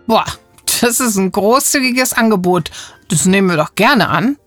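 A woman's voice: medium pitch, animated, moderate pace, a bit bright, no echo, faint music behind. Woman hosting, dry close studio sound. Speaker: host of a children's Bible podcast. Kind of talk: light chat with a child character.

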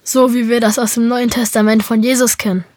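A woman's voice: on the high side, flat delivery, fairly quick, a little mellow, no room sound, studio quality. A woman reading interview questions aloud.

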